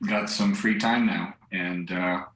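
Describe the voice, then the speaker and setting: A young man from central Ukraine with a Ukrainian accent, answering a live news interview in English in a halting way, searching for words. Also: deep voice, steady tone, a bit bright. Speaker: volunteer driver interviewed live. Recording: echoey remote link.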